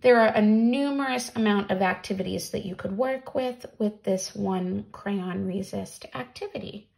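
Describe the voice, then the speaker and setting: A woman in her thirties, speaking deliberately as a teacher presenting read-alouds and crafts for children, recorded in a small room at home. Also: mid-range voice, gravelly, a little mellow, poor audio.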